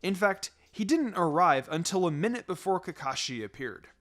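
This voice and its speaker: A man narrates fiction in an expressive read. His voice sits a little high and moves with lively intonation. Music plays underneath him. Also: moderate pace. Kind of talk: expressive scripted story narration. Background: background music.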